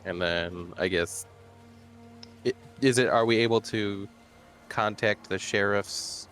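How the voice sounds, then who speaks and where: A man chatting casually as a tabletop game player, deliberate and dry. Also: lowish voice, fairly bright tone, faint music behind.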